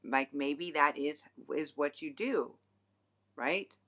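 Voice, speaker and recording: Female tarot reader, low and bright-toned, rambling, frank, swears casually. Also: slow. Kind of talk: casual livestream tarot reading.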